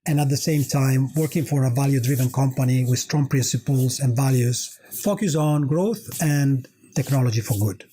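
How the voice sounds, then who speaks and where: A man's voice: mid-range voice, normal speed, hardly any pauses, dark tone. A middle-aged man from Italy with an Italian accent, answering interview questions conversationally as an executive guest.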